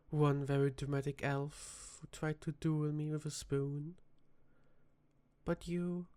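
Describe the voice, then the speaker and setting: A performer voicing a flirty, teasing vampire tavern bartender in a soft-spoken ASMR roleplay, with dramatic pauses. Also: medium pitch, natural voice.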